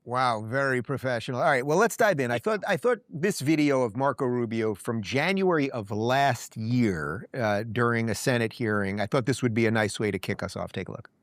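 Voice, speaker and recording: A man's voice: mid-range voice, moderate pace, hardly any pauses, no echo, clean studio sound. A man hosting an interview show, talking in a loose, casual way with fillers and restarts. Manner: relaxed, with frequent uh fillers and restarts. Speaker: show host.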